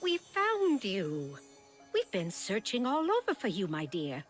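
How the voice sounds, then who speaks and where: An actress playing a gentle, knowing older fairy godmother in a video game, with a coaxing, theatrical manner. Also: medium pitch, huge pitch swings, a little slow. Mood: gentle, inviting. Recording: game music behind the voice.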